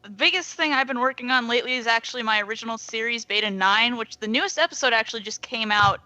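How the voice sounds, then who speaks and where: A woman's voice: fairly high pitch, quick pace, pushed and bright, a little hiss or hum, rough recording. A young adult woman chatting casually as a guest on a podcast. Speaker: podcast guest, YouTuber and artist. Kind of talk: casual chat.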